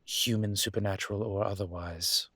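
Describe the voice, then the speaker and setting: A man playing a flirtatious, wry immortal demon professor in an intimate, conversational audio roleplay, with a low husky voice and a teasing, playful manner. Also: steady tone, normal speed, fairly bright tone, no echo. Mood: warm, admiring. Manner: teasing, playful, breathy asides.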